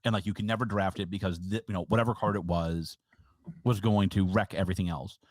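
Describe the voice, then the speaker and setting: A man's voice: deep voice, steady tone, fast talker, no echo, studio quality. A man co-hosting a casual podcast, talking emphatically and opinionated, teasing his co-host. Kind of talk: casual chat about a card game.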